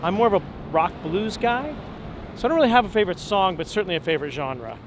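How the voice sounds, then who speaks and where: A relaxed man in his forties with a fairly high speaking voice, thinking aloud with plenty of ums. He talks while walking outside, and clear traffic and street sounds are heard behind him. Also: fast. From Long Island, New York. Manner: relaxed, thoughtful, many ums and restarts. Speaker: opera tenor guest. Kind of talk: casual interview while walking. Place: outdoors on a city street. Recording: outdoor street noise.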